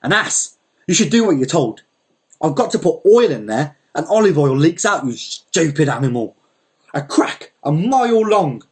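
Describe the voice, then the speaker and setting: A man voicing a domineering, furious male landowner who hurls insults in a storybook reading, his voice husky. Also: a little high, big pitch swings, moderate pace, voice pushed up. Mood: furious, bossy.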